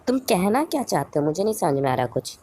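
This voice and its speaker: An affectionate girl superhero from a cartoon dub, played by a woman, has a very low female voice with a dark, soft tone. She comes across as gentle, caring and sincere. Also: big pitch swings, fast. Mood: puzzled.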